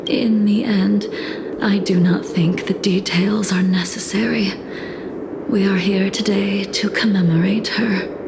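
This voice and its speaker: A woman playing a solemn veteran swordswoman in a video game, with soft, breathy, formal and wistful delivery. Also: mid-range voice, background noise. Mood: grave. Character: solemn, cool-headed veteran swordswoman mourning a lost comrade. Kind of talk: dramatic video game acting.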